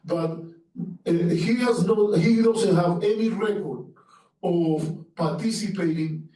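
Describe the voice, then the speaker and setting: A man with a Cuban Spanish accent speaks earnestly to a seminar audience, often stumbling and restarting his sentences. His voice is slightly high and mellow. He sounds distant from the mic, in a very echoey room. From Cuba. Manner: earnest, halting with restarts and fillers. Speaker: panelist. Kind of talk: academic seminar talk.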